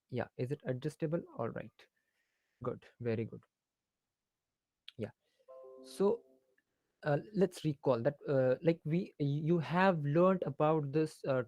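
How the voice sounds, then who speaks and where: An Indian man teaching maths over an online call, speaking through faulty headphones in a dry, close sound with no room around it. He hesitates and says "uh" often, and drifts between English and Hindi as he explains. His pitch sits in an ordinary middle range. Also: darkish tone, no room sound. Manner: hesitant, many fillers, switching into Hindi mid-sentence. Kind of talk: online class lecture. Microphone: faulty headphones on an online call, voice low.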